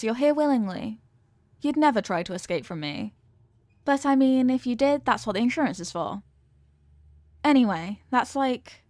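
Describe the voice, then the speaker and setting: A high-voiced, adorkable yandere girlfriend, played by a woman in a comedic ASMR-style roleplay. She is sweet and doting but possessive, rambling earnestly straight to the listener in a close, dry recording. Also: darkish tone, no echo. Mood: sweetly possessive, then apologetic, insistent.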